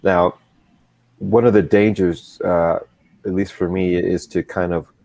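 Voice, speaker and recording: A man speaking as a guest in a casual chat, measured and deliberate, often repeating words as he searches for phrasing. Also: low-pitched, steady tone, vocal fry, warm tone. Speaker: guest, comics creator.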